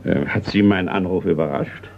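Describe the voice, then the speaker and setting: Creaky-voiced courteous retired colonel. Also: male voice, sits low, moderate pace. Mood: hospitable, polite. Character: retired colonel, older male art collector, courteous Scottish host. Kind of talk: German radio crime play acting. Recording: dull, noisy old radio recording.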